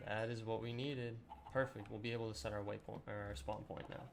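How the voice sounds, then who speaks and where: A young man with a slightly raspy, sore voice, chatting casually and rambling through a let's-play with lots of ums. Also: lowish voice, brisk, background noise. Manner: relaxed, rambling with many ums and throat clearing. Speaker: gaming channel host.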